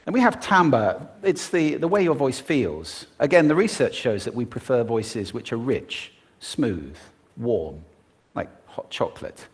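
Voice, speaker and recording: Brief: a male stage speaker with a lively, swooping delivery who demonstrates his techniques. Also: medium pitch, unhurried, husky. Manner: expressive, demonstrating vocal techniques. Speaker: public speaker. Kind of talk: prepared talk on stage.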